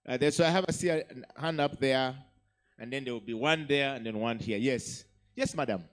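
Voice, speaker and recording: A man's voice: medium pitch, moderate pace. A man hosting a live summit as MC, speaking in an emphatic, encouraging way and often repeating himself to call for applause. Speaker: event MC. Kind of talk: lively crowd-hosting, asking for applause. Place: summit hall with audience.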